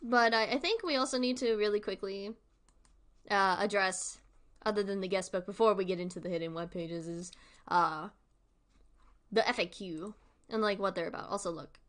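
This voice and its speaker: A woman's voice: medium pitch, normal speed, long pauses, fairly bright tone. A woman hosting a livestream with casual, informal commentary, rambling and thinking aloud as she goes. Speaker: livestream host.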